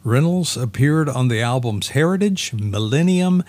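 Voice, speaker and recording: A man's voice: mid-range voice, expressive, a little slow, darkish tone, no echo. An American man co-hosting a podcast, reading music news with casual banter. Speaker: podcast co-host.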